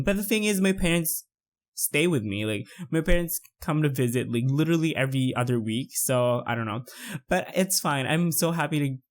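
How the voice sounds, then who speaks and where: A man in his twenties from the Philippines, chatting casually over a build video with lots of fillers. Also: fairly high pitch. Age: 20s. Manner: chatty, rambling, many fillers. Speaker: YouTuber narrating a build.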